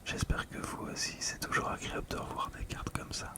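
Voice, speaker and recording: A middle-aged ASMR host talking through a collectible in a calm, gentle, hesitant way, close to the microphone. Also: fairly quick. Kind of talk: whispered ASMR commentary.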